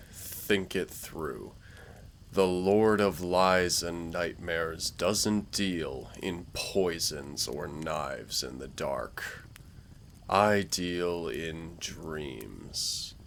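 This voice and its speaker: A man playing a smug, taunting dark warlord villain in a roleplay audio drama, speaking in a theatrical, dryly mocking way. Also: deep voice, creaky, slight background noise, exaggerated voice. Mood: menacing, taunting. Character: dark warlord villain, younger-looking, sardonic, smug, taunting Lord of Nightmares. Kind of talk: roleplay audio drama, intimate scripted monologue.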